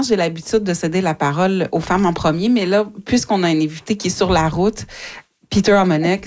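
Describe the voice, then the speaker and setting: A woman hosting a radio panel in the studio, talking in a relaxed, chatty way with frequent hesitations. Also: fairly low pitch. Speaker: radio host.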